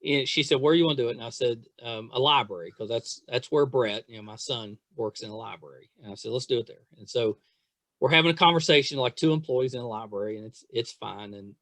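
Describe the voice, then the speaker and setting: A man's voice: mid-range voice, quick pace, a bit bright, no room sound. A middle-aged man hosting a casual chat interview, gushing and repeating himself for emphasis. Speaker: podcast host interviewing an actress.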